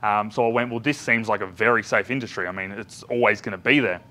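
A man in his thirties with an Australian accent, giving a loud, chatty talk as a business trainer to a live audience in a conference room, with many ums and restarts. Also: lowish voice, steady tone, fast talker. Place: large conference room indoors. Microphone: far camera, no visible mic.

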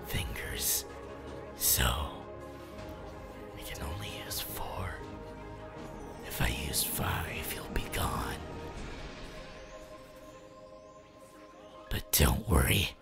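A man voicing a creepy, possessive young anime villain in a slow, breathy, rasping whisper for an ASMR roleplay. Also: low voice, voice pushed down, heavily acted. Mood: menacing affection. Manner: slow, obsessive cooing.

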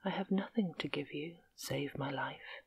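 A woman voicing a humble young prince raised by peasants, in a soft whisper. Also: sits low, measured pace, creaky, slight background noise, pitched below the performer's own voice. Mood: earnest.

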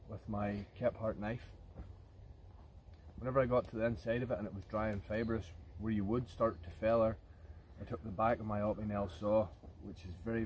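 A man's voice: lowish voice, a little mellow. A man in his thirties talking casually to camera outdoors, explaining things informally as a bushcraft vlogger. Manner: informal, explanatory, addressing viewers as guys. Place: outdoors in woodland camp. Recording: outdoor ambient noise.

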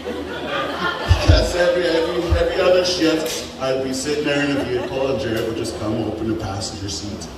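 A man's voice: mid-range voice, moderate pace, over a noisy crowd. Joking man toasting over a PA. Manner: joking, swearing, getting choked up. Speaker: wedding toast speaker. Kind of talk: wedding toast with jokes. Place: large open-sided wedding tent. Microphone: handheld mic over speakers, filmed by a far phone. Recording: echoey PA sound from far off, noisy.

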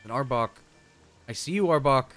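Animated man chatting over a game stream. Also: mid-range voice, moderate pace. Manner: chatty, thinking aloud, slangy. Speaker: streamer, show host. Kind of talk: casual game stream commentary. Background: music.

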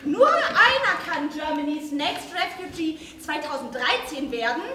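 A woman's voice: high-pitched, lively intonation, a little slow, a crowd in the background. A woman acting a gushing, cynical TV casting-show hostess with a bubbly, mocking showbiz tone, in a satirical stage play before a live audience. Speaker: stage actor playing a TV show host. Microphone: distant room mic. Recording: echoey stage recorded from far off, audience.

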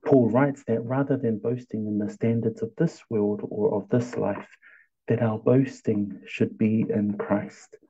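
A Samoan man with a Samoan accent, a pastor giving a devotional sermon and reading scripture slowly and deliberately. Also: on the low side, steady tone, dark tone, husky, highs cut off.

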